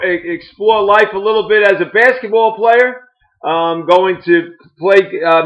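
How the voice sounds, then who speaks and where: High-voiced man hosting a podcast. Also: a bit bright. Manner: chatty, repeats and restarts words, asks long rambling questions. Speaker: podcast host. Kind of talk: casual interview chat. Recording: dull, lo-fi.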